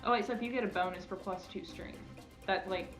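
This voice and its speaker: A young woman with a mid-range voice plays a tabletop game, casually checking the rules. The room sounds echoey, and music is clearly audible behind her. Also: mid-range voice, fairly even intonation, brisk. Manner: casual, asks rules questions. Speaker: player.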